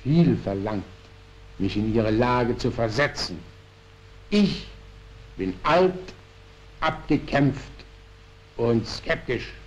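A man reading a play as a skeptical, guarded old dignitary, halting and deliberate, in a historical recording. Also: mid-range voice, animated, a little hiss or hum, pitched below the performer's own voice. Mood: weary. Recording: dull historical archive recording.

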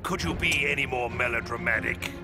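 An actor playing a gleeful, mocking murderous clown villain in a video game, theatrical and teasing. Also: male voice, medium pitch, fairly quick, lightly acted. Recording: music under the voice.

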